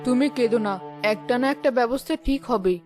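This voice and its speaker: A woman's voice: a little high, moderate pace, close to an everyday voice. A woman voicing a practical, consoling village wife and mother in a cartoon, reassuring but firm. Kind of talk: Bangla cartoon voice acting. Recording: music behind the voice.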